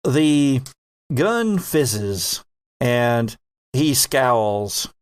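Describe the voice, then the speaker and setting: A man narrating a sci-fi horror tabletop game as host and game master, with measured, dramatic storytelling. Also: mid-range voice, very animated, smooth, no echo.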